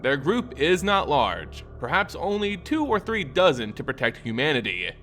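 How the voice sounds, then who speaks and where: A man narrating a scripted fantasy story in a dramatic, solemn and measured storytelling manner. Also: on the high side, huge pitch swings, a bit bright, faint music behind. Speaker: lore narrator.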